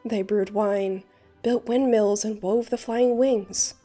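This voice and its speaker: A woman's voice: mid-range voice, steady tone, slow pace, mellow, faint music behind. A woman playing a theatrical young male bard in a fan dub, telling tales with a lilting, old-fashioned delivery. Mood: storytelling. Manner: lilting, archaic rhyming delivery. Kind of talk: fan dub character acting and storytelling narration.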